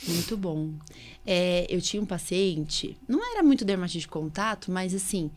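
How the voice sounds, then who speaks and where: A woman's voice: fairly low pitch, lively intonation, normal speed, darkish tone. Chatty Brazilian woman hosting a podcast. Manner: chatty, anecdotal, often seeking agreement with tags. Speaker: podcast host, allergist doctor. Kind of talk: casual conversational medical podcast.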